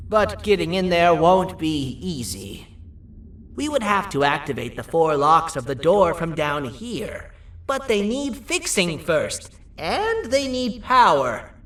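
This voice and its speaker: An actress playing a practical, cautious, resourceful companion in a video game. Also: female voice, fairly low pitch, fairly bright tone, exaggerated voice. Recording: roomy, distant, faint music.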